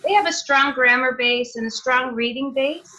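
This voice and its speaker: Canadian woman running a zoom teacher seminar, highish bright voice, friendly, lots of ums. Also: normal speed. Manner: friendly, explanatory, with filler sounds. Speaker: teacher trainer. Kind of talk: online seminar presentation. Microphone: video call.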